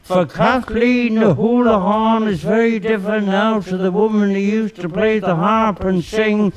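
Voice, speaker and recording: Man performing a slow, high-voiced world-weary Irishman. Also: fairly even intonation, a crowd in the background. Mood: bitter. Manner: slow, declamatory, theatrical stage reading.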